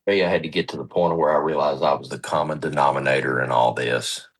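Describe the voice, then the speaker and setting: A man's voice: rumbling low, steady tone, quick pace, breathy edge. A man on a recovery podcast, plain-spoken and joking.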